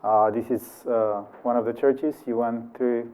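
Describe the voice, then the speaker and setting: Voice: medium pitch, steady tone, unhurried, dark tone, clear, resonant tone. An interview host who welcomes a guest and asks questions in an encouraging way, often replying with short back-channel sounds. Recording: tinny phone-like sound.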